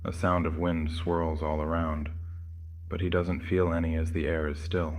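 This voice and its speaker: A man's voice: very deep voice, level tone, moderate pace, a little hiss or hum. A man giving scripted narration of a horror story as its narrator.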